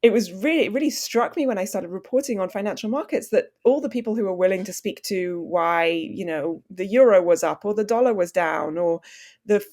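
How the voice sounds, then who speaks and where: A woman's voice: mid-range voice, fairly quick, darkish tone. A woman in her thirties, raised in Switzerland, speaking as an author and journalist guest in a podcast interview, articulate and self-deprecating. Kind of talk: podcast interview, conversational storytelling.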